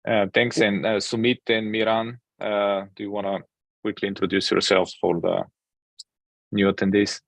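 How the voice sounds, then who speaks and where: A man hosting an online project meeting, talking casually with many fillers and pauses, on a video call. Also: fairly low pitch, flat delivery, creaky, dry recording. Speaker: meeting host, project maintainer. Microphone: computer mic on video call.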